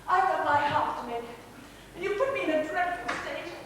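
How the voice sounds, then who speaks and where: An actress playing an aggrieved, sharp-tongued woman in a theatrical stage drama. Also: high voice, animated, normal speed. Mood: indignant. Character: aggrieved, sharp-tongued woman caught between two men. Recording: echoey hall, distant mic, faint noise.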